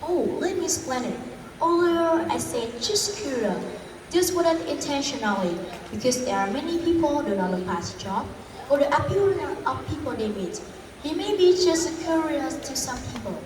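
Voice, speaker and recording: High-pitched girl acting as a male confidence coach, earnest recited lines, echoey hall from far off. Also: lively intonation, moderate pace. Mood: upbeat. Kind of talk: school stage role-play in English. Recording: echoey hall, distant mic, background noise.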